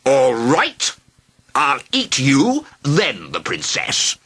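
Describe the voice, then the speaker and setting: A man's voice: mid-range voice, very animated, unhurried, sharp tone, husky, exaggerated voice. A man voicing a vain, rude and cowardly huge male dragon in a storybook, blustering and melodramatic. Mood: testy. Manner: blustering and melodramatic, wailing when wounded. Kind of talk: storybook reading.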